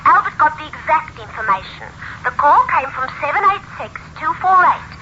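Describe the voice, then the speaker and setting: A woman's voice: high voice, sing-song, normal speed. An actress playing a chatty, gossipy switchboard girl in an old-time radio drama, with a chirpy manner. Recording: muffled, noisy old radio recording.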